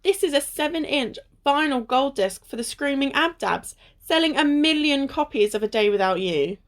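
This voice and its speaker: A woman's voice: sits high, expressive, normal speed. A woman acting a wary, bossy, teasing young adventurer in an amateur radio play. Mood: matter-of-fact, teasing.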